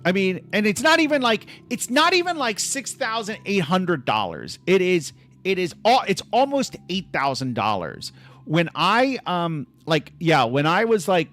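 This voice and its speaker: A man hosting a casual stream from his laptop at home, his voice sitting a little high and swinging widely in pitch. He rambles, trips over his words and restarts often, filling the gaps with "like." Soft music plays faintly behind him. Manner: rambling, restless, full of restarts and "like". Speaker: livestream host. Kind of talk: casual livestream chat.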